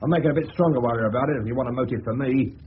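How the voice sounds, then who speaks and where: An actor playing a blunt, scornful, slangy working-class suspect in a 1970s British radio drama. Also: male voice, mid-range voice, racing, lightly acted. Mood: scornful, defiant. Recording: dull, noisy old radio broadcast.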